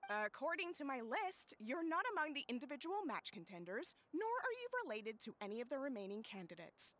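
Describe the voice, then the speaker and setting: A firm, rule-bound tournament attendant for an anime game. She speaks formally in a high, bright, very animated voice over faint music. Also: fairly quick, slight background noise. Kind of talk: anime-style video game acting.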